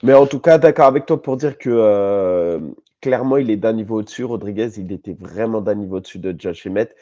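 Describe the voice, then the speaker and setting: A French man co-hosting a podcast argues his case with insistence, nasal from a cold. His voice sits warm and mellow. The recording sounds dull and lo-fi. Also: fairly low pitch, brisk, dry recording. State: has a cold, coughing. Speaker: podcast co-host. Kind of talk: casual podcast debate.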